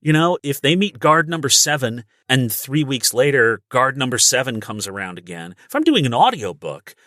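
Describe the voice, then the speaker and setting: A man's voice: mid-range voice, very animated, normal speed, bright, forceful tone, no room sound, clean studio sound. A man speaking as a guest voice actor on a podcast, explaining things casually and emphatically through everyday analogies. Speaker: guest voice actor and audiobook narrator. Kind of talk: casual instructional podcast conversation.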